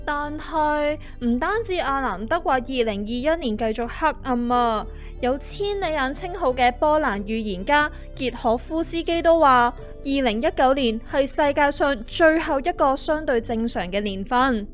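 Radio drama friend: woman, played by an actress, Hong Kong accent, higher pitch, explanatory and matter-of-fact, over music. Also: lively intonation, moderate pace. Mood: grave explaining.

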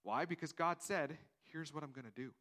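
A man's voice: fairly high pitch, moderate pace, sharp tone. A man preaching a sermon in a conversational way, acting out the dialogue of the story and often repeating words as he goes. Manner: repeats words, restarts phrases. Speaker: pastor.

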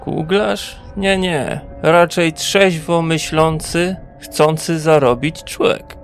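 A man playing a slow-spoken, self-assured fantasy wanderer. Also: on the high side, fairly even intonation, vocal fry, faint music behind. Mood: calm, sly. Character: wary, self-assured male wandering traveller seeking hire. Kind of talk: fantasy audio drama acting.

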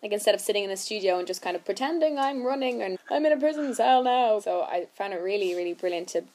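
A woman's voice: mid-range voice, expressive, quick pace, a little mellow. A young adult woman with an Irish accent, chatting casually as an actress being interviewed. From Ireland.

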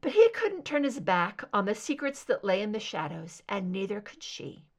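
A woman reading scripted fiction as an audiobook narrator, in an expressive storytelling style. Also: mid-range voice, moderate pace. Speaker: amateur audiobook reader of fan fiction.

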